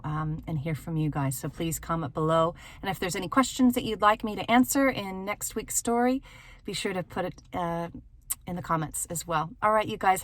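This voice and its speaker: Lady with a slightly low voice chatting to viewers in her car, animated storyteller, kinda giggly. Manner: animated, giggly, acts out dialogue. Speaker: host of a storytelling vlog. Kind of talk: casual personal storytelling to viewers. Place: inside a parked car.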